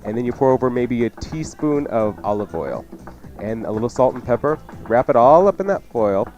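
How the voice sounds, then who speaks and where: A man's voice: medium pitch, big pitch swings, normal speed, a little mellow, muffled recording. A man hosting a cooking show on the radio, chatting casually and jokingly as he gives folksy recipe instructions. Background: music under the talk.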